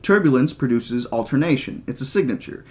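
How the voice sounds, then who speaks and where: A man voicing a condescending male mathematician in an audiobook reading, lecturing with flat, didactic certainty. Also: mid-range voice, fairly quick, natural voice. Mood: matter-of-fact lecturing. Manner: didactic, flatly insistent.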